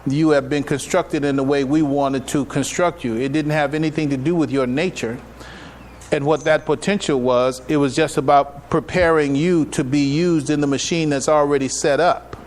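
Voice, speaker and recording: Folksy American man lecturing, higher-pitched, noisy recording. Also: fairly even intonation, normal speed. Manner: conversational, folksy, checks in with listeners using rhetorical questions. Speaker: imam giving a public address and taking questions. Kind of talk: informal religious lecture to a congregation. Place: live gathering at a mosque, speaking to attendees. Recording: noisy live room recording.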